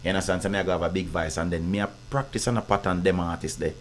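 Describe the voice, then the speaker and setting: A Jamaican man speaking as an interview guest, his voice big and very low. He talks quickly in heavy patois, piling up phrases and stopping to ask if he's understood. Faint noise sits under the speech. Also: fairly even intonation. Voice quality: big deep voice. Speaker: guest artist. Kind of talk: casual interview chat.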